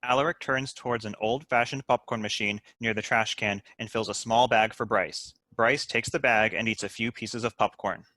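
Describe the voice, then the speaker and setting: A man's voice: lowish voice, steady tone, normal speed, hardly any pauses, bright, forceful tone, no echo. A man reading out stage directions as scripted narration for a screenplay table read.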